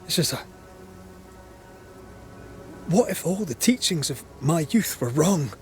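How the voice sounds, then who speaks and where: Slow-spoken, brooding ghost hunter. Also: male voice, a little high, expressive, darkish tone, soft background music. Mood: anguished doubt. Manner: earnest, brooding, understated. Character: guilt-ridden, brooding male banisher of ghosts. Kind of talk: naturalistic game drama acting.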